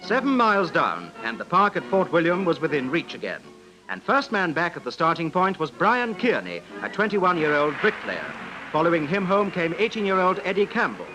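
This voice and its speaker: A man's voice: high voice, sing-song, moderate pace, rough recording. A man narrates a scripted 1950s newsreel in a formal, dramatic manner. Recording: vintage 1950s newsreel soundtrack, music.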